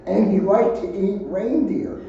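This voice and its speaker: A man's voice: high voice, measured pace, gravelly. A man reading a children's storybook aloud, adding his own offhand asides. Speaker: police officer reading aloud. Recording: muffled, very echoey, distant, faint noise.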